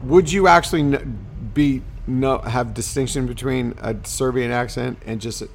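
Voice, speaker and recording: A man co-hosting a casual sports podcast, chatting in an animated, bantering way. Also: medium pitch, normal speed, gravelly, a little hiss or hum.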